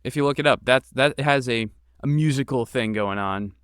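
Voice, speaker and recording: A man speaks in a casual, explanatory way as a podcast guest, thinking aloud. His voice is smooth and clear at a medium pitch, recorded close and dry. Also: moderate pace, fairly bright tone, no room sound. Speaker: podcast guest, game creator and voice actor. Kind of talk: casual interview conversation.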